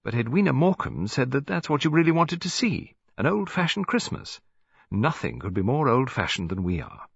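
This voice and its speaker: A man's voice: mid-range voice, very animated, moderate pace, gravelly, husky, lo-fi sound, voice pushed up, heavily acted. A man voicing a genteel, practical English lady near seventy, who chatters politely in an audiobook story. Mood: chatty, cheerful. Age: older. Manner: chatty, rambling, polite tag questions.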